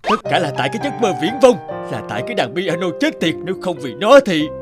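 A man playing a bitter, harsh drunkard father in an animated drama, scolding forcefully. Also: sits high, fast talker, music in the background. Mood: angry.

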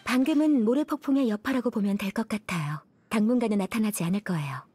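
A woman voicing a calm, courteous village guardian warrior in a video game, polite and composed. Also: medium pitch, quick pace, a little hiss or hum, natural voice.

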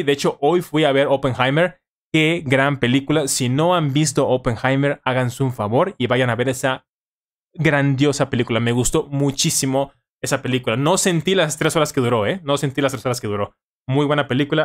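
A man's voice: medium pitch, a little fast. A man in his thirties with a Mexican accent hosts a casual live stream, informally answering viewers and repeating phrases for emphasis, close to a studio microphone. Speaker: live-stream host, language-learning tutor. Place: small home studio room, indoors. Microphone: studio microphone on boom arm, close.

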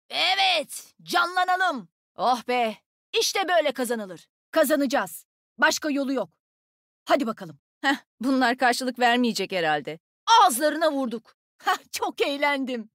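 A woman playing a cocky, agile young female fighter in a video game, energetic, playful and teasing. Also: high-pitched, no room sound, studio quality. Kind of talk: game character voice lines, short combat callouts.

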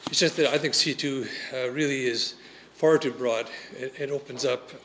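A man from Canada speaks unscripted at a council hearing, halting and clearing his throat between points. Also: medium pitch, fairly even intonation, bright, forceful tone, low-quality recording. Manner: halting, full of fillers and restarts, clears throat. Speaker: resident objecting at a council hearing. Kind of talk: unscripted public comment. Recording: faint noise, council chamber mic.

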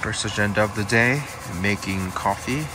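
A man's voice: fairly low pitch, moderate pace. A man vlogging casually on location, giving off-the-cuff updates into a handheld camera. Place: on location, mostly outdoors at a campsite. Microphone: handheld camera or phone. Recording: loud ambient noise on camera mic.

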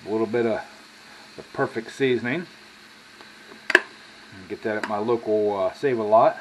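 An American man in his sixties with a folksy manner, casually talking through a cooking demonstration as a home cook. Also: on the low side. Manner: folksy, drawn-out asides with y'all. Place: home kitchen, indoors at the stove. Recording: clearly noisy home kitchen recording.

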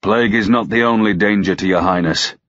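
A man's voice: low-pitched, unhurried, a bit bright, dull audio. A man voicing a proud, stern knight in an audiobook, with harsh, brusque, archaic courtly speech. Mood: brusque, grudging. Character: proud, stern, gruff young-to-middle-aged male knight.

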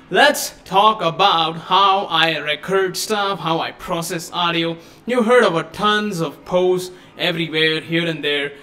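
A man hosting a casual live-stream tech tutorial at his home desk on a studio microphone, chatty and given to excited exclamations. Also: fairly high pitch. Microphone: USB studio microphone.

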